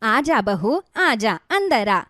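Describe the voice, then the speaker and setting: A woman's voice: a little high, sing-song, takes it slowly, fairly bright tone, dry recording, clean studio sound, full character voice. Woman acting a chatty, lilting aunt-in-law. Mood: pleased. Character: chatty, flattering middle-aged village aunt-in-law. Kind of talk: acted cartoon moral-story dialogue.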